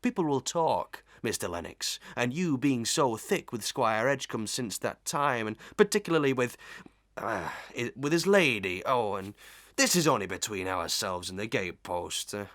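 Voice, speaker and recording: A man's voice: on the low side, fairly bright tone, dry recording, studio quality. A man playing a talkative, self-important village fellow in a Victorian melodrama, rambling through proverbs in a wheedling, hemming way. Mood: confiding. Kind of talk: Victorian melodrama audio acting.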